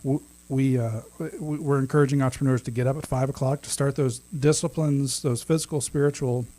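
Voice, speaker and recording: A man's voice: mid-range voice, steady tone, a little fast, dark tone, poor audio. A man in his thirties co-hosting a podcast, chatting casually, laughing often and restarting his sentences. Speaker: podcast co-host. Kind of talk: casual chat.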